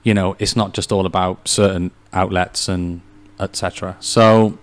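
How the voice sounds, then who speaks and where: A man's voice: low voice, steady tone, moderate pace, clean tone. A man hosting a casual interview, asking questions hesitantly with many fillers. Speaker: host.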